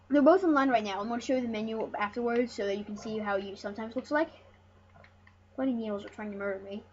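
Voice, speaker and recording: An excitable, rambling host giving casual, jokey commentary over a video game. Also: medium pitch. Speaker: host of a gaming channel. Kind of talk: casual let's play commentary. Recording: dull lo-fi mic with loud noise.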